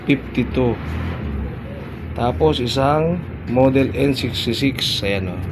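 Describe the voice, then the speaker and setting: A man's voice: medium pitch, noisy background. A middle-aged Filipino man chatting casually through an unboxing vlog, repeating short phrases. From Philippines. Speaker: barber vlogger.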